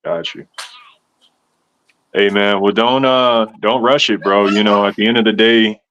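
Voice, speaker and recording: A man's voice: lowish voice, flat delivery, a little slow, fairly bright tone, highs cut off, slight background noise. A man in his thirties hosting a casual interview, laid-back and slangy, calling people man and bro. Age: 30s. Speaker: host.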